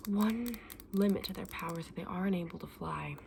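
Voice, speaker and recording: A woman whispering breathily in a gentle, unhurried ASMR roleplay as a shopkeeper talking to a customer. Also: on the low side, creaky, slight background noise. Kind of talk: whispered ASMR roleplay as a dragon egg saleswoman.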